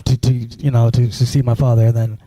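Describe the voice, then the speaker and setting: A man from Sudan tells his story in a very dark, mellow voice, stumbling over restarts and leaning on "you know" as he goes. The sound is dry and close, with no room around it. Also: medium pitch, little pitch movement, brisk, no room sound. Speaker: guest. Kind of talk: personal storytelling interview.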